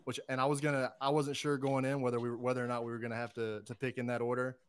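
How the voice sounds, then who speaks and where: Male podcast panelist, informal and conversational, medium pitch. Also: flat delivery, quick pace, smooth, no room sound. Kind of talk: casual chat.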